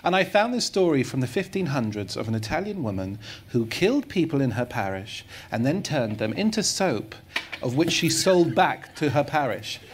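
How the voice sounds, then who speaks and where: A man on a festival panel, telling stories in a lively, chatty, quick way. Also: medium pitch, slight background noise. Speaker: comedian on a panel. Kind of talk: panel discussion. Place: festival stage.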